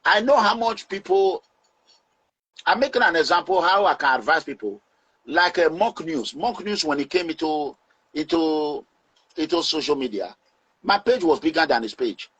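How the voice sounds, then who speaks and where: A Nigerian man speaking in a forceful, preaching way as he gives advice to his online audience. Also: on the high side, expressive, normal speed, breathy edge, poor audio.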